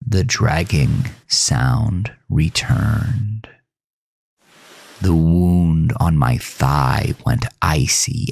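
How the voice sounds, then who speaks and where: A man's voice: deep voice, creaky, slightly rough, no room sound, clean studio sound. A man narrating a first-person horror story in a brooding, theatrical, dramatic way. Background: faint music.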